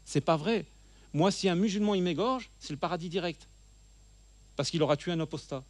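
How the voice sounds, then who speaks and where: A man's voice: high voice, a little fast, no echo. A middle-aged man speaking to a church audience while seated with a handheld microphone, earnest and emphatic, often repeating phrases for stress. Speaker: guest speaker. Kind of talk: church teaching talk. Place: church hall, seated at a table. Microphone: handheld mic.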